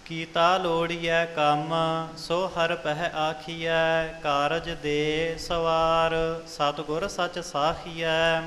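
A man chants verses of scripture at a very slow pace, his tone level with little rise or fall. The recording is a reverberant hall with a long tail and a faint hiss. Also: fairly high pitch, faint music behind. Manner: measured, even chanting. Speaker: reciter of scripture.

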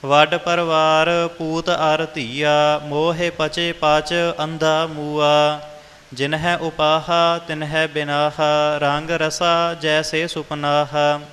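A man reciting Sikh scripture in a measured, melodic, sing-song chant. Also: a little high, flat delivery, a bit bright. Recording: long hall reverb, music behind.

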